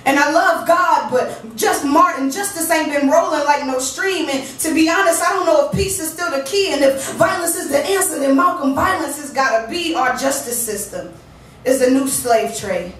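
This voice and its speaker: A woman's voice: high voice, moderate pace, fairly bright tone. A woman performing spoken word poetry with a rhythmic, emphatic delivery and loose, conversational asides between pieces, at a small living-room poetry venue. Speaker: featured poet. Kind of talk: spoken word poetry with casual stage banter. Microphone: room recording from a distance. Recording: very echoey room, distant mic, faint hum.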